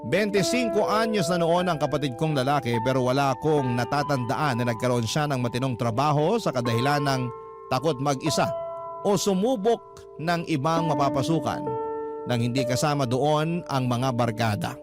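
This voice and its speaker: Lalaking tagapagkuwento sa radyo, malakas ang musika. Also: male voice, mid-range voice, moderate pace. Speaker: radio storyteller reading listener letters. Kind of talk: dramatic first-person letter narration. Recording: loud music bed under voice.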